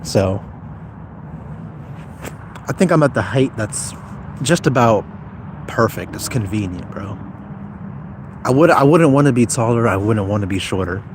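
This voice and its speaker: A man's voice: on the low side, lively intonation, measured pace, pauses often, noisy background. A young man talking casually on a livestream, informal and slangy, thinking aloud as he answers viewers. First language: Somali speaker, as second language. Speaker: YouTuber live-streaming.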